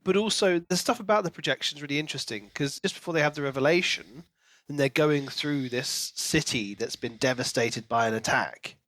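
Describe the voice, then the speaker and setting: A man's voice: a little high, brisk, fairly bright tone, no room sound. A man co-hosting a podcast in casual book discussion, acting out reactions as he retells. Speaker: podcast co-host.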